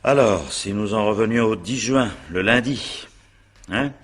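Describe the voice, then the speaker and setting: An actor playing a brisk, impatient male magistrate in a French radio drama, with an authoritative, clipped and dryly ironic way of questioning. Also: fairly low pitch.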